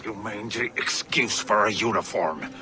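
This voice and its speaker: A man playing a suave, menacing, haughty gentleman villain in a video game, with pompous, theatrical delivery. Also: lowish voice, unhurried, background noise, natural voice.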